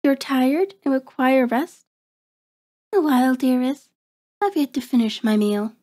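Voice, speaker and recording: A woman playing a seductive, predatory vampire in an ASMR roleplay, soft and breathy with a coaxing, commanding manner, often slipping into a whisper. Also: high-pitched, animated. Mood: coaxing, teasing. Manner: slow, deliberate, coaxing, controlling.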